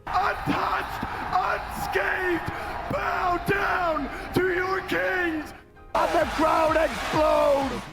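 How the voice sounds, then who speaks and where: A man commentating on esports as a shoutcaster in an excited style. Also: very high-pitched, extremely slow, gravelly, over a noisy crowd, poor audio. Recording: broadcast clip under very loud music.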